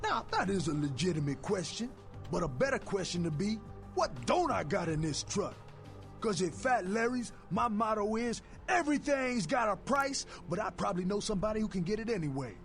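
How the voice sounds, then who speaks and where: Sleazy street fence in a game, high and slick, streetwise sales patter. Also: male voice, sing-song, normal speed, faint music behind. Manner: slangy streetwise hustler patter. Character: fast-talking sleazy heavyset male street fence. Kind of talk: video game character dialogue.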